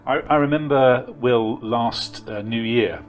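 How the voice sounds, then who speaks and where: A man interviewing a younger churchgoer, asking gentle questions and adding encouraging affirmations. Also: mid-range voice, a little slow, faint music behind. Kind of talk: casual interview.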